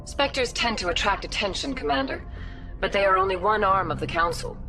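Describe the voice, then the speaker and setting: A woman's voice: medium pitch, very animated, a little fast. A woman playing a diplomatic alien councillor in a video game, composed and formal. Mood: composed, official. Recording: music behind the voice.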